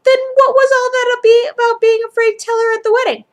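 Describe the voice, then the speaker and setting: An incredulous young man from a fan fiction story, put on by a woman, pitched way up. He fires exclaimed, probing questions. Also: flat delivery, quick pace. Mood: shocked.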